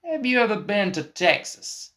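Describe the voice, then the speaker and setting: A man's voice: high voice, sing-song, normal speed, bright, forceful tone, heavily acted. A man playing an eccentric, evasive film collaborator in a comic radio play, insistent and theatrically cryptic. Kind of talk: scripted comic mock interview.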